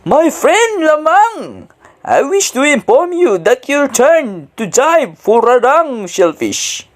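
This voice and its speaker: Village messenger friend played by a woman, very animated pitch, slow formal announcing. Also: heavily acted. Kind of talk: readers' theater of a folk epic.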